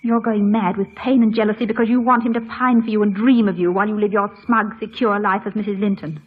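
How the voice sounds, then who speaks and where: A woman's voice: medium pitch, fairly even intonation, fast, natural voice. An actress playing a vain, infatuated, defiant young society woman in a theatrical old radio drama style. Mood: triumphant defiance. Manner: theatrical, gushing, haughty. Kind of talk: 1940s-50s radio drama acting. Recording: dull old radio broadcast with music.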